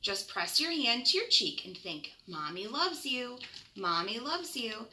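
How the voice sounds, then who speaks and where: A woman playing a gentle, reassuring mother raccoon from a storybook, soothing and nurturing. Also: a little high, sing-song, unhurried, slight background noise, heavily acted. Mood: tender, teasing.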